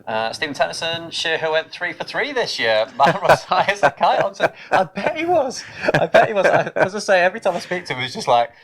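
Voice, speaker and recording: A man's voice: high voice, big pitch swings, brisk, few pauses, a bit bright. A man co-hosting a casual gaming live stream, easygoing and chatty as he reads viewer comments aloud. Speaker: co-host of a wargaming stream.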